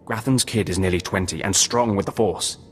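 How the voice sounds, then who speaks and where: A man playing a gruff, sarcastic undercover operative in a video game, curt and condescending. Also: sits low, fairly quick, a little hiss or hum, close to an everyday voice. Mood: businesslike.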